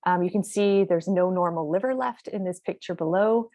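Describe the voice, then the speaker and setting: A woman researcher giving a measured, explanatory webinar talk over a video call. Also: fairly low pitch, dark tone, dry recording. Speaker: researcher presenting. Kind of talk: webinar presentation.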